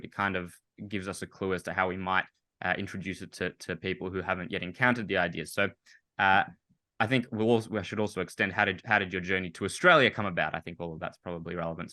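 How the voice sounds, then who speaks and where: A man hosts a podcast in a low voice with a bright, forceful edge. The sound is dry and close. He talks with eager enthusiasm, rambling a little and filling gaps with fillers. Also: dry recording. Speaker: podcast host. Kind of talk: casual interview conversation.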